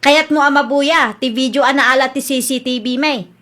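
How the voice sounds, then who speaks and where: A woman's voice: high-pitched, steady tone, moderate pace, fairly bright tone. A woman acting a suspicious, scolding wife in an Ilocano radio comedy drama. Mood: accusing. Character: nagging, suspicious wife accusing her neighbour of theft.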